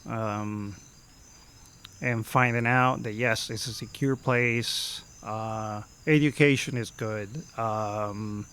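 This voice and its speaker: A man in his fifties from Costa Rica with a Costa Rican Spanish accent, telling stories as a podcast guest and often pausing to search for words. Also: on the low side, steady tone, a bit bright, slight background noise. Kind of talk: casual storytelling.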